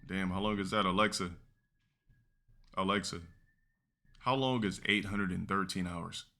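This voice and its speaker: A man's voice: deep voice, steady tone, a little slow, bright, forceful tone. A man streaming and reacting to clips, talking bluntly and thinking aloud with lots of swearing. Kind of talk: casual reaction commentary.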